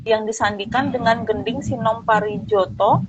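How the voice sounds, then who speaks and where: A woman reading slide text aloud in a plain, even voice. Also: a little high, moderate pace. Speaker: slide reader. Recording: muffled lo-fi with crowd noise.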